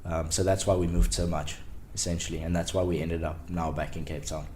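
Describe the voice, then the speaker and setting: A man's voice: sits low, a little fast, slight background noise. A young man in his twenties talking casually as a podcast guest, rambling a little with fillers and restarts. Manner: relaxed, rambling with many ums and restarts. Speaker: podcast guest, startup founder. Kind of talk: casual interview chat.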